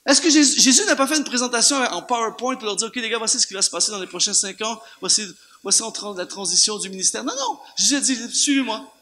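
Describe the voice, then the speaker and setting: Animated Canadian French preacher, high bright voice. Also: male voice, fast talker. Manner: animated, repeats words for emphasis, rhetorical questions. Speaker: guest preacher. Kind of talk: lively sermon. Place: church, before a congregation.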